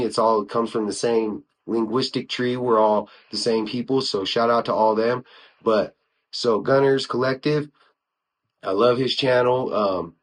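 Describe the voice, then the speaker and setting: An American man from Wyoming hosting a solo podcast, talking informally with slang and frequent conversational asides. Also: medium pitch. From United States (Wyoming). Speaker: podcast host. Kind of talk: casual solo podcast talk, reviewing YouTube channels.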